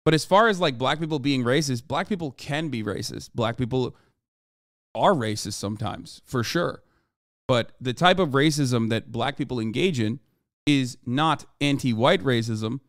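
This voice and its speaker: Man, thirties, mid-pitched voice, emphatic lecturing, swears freely, livestream commentary, close dry mic. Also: moderate pace, no echo. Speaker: political livestreamer. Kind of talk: livestream political commentary, reacting to chat. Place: small room at home, indoors. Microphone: close desk microphone.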